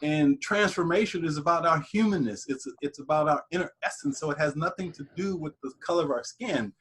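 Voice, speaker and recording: Male host, husky, somewhat high voice, thoughtful interviewer. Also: fairly quick, fairly bright tone. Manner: thoughtful, probing questions. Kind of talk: interview conversation.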